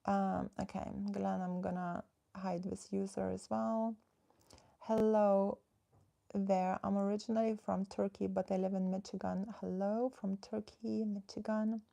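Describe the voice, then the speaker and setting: Relationship coach, woman from the Netherlands: low gravelly edge, mellow tone, chatty and direct livestream host. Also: flat delivery, unhurried. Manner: chatty and direct, reads viewer comments aloud, coaching advice. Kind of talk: casual livestream chat with viewers.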